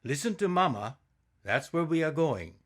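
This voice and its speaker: A man's voice: fairly high pitch, big pitch swings, moderate pace, voice pushed up. A man voicing a dutiful, protective father who speaks firmly and in an orderly way in a storybook reading. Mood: firm, reassuring.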